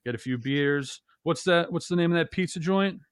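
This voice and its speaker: A man from New Jersey with a loud bass voice, co-hosting a casual podcast and telling rambling stories full of restarts and fillers. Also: normal speed, smooth, no room sound, clean studio sound. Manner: rambling storyteller, lots of "you know" and restarts. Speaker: podcast co-host.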